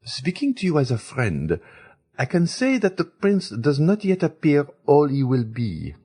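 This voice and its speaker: A man voicing a frank, worldly middle-aged French baroness in a raised, lilting falsetto, reading an audiobook. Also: huge pitch swings, measured pace, warm tone, slightly rough, full character voice. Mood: chatty.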